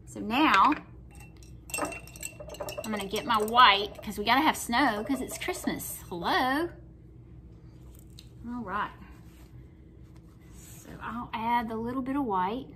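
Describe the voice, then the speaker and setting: A middle-aged woman with a Southern US accent talks viewers through her painting in a folksy, chatty way. Her voice sits a little high for a woman. A faint hiss of room noise hangs under the overhead phone recording. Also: pushed and bright. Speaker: craft painting host. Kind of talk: casual painting tutorial. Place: home kitchen table, indoors.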